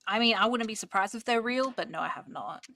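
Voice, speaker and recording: A woman's voice: fairly high pitch, a little fast, sharp tone. A young woman chatting casually and rambling while she studies on a stream. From Australia. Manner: rambling, chatty, many "like" fillers.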